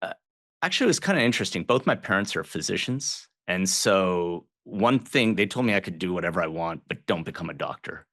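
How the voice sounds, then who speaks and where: A man with a very low voice speaks as a podcast guest. He talks in a relaxed, easygoing way, with fillers and restarts along the way. The sound is dry and close, with no room around it. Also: a little fast, no echo. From Southern Illinois, United States. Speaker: guest, water agency general manager. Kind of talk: casual podcast interview.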